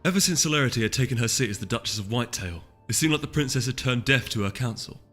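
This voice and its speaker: A man narrates a fantasy story in a bright, medium-pitched voice. The delivery is scripted and dramatic, wrapped in long hall reverb with music underneath. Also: lively intonation, quick pace. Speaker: audiobook reader.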